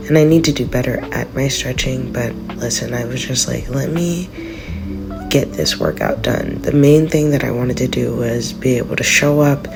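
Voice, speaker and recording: Very low, gravelly congested woman narrating. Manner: relaxed, rambling. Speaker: vlogger. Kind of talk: casual voice-over narration.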